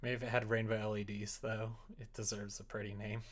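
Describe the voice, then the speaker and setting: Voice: mid-range voice, little pitch movement, a little fast. A streamer chatting casually and informally, often acting out little imagined exchanges in conversation. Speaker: streamer chatting while making a visual novel. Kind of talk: casual livestream chat.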